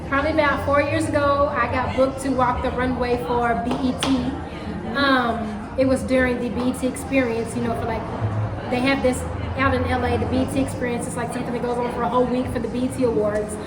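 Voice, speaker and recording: A woman chats casually on camera, her voice sitting high. She drawls and laughs often. The room is echoey and a loud steady hiss sits under everything. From Kentucky, USA. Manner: playful, laughs often, drawn-out phrases. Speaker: co-host, model. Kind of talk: casual chat.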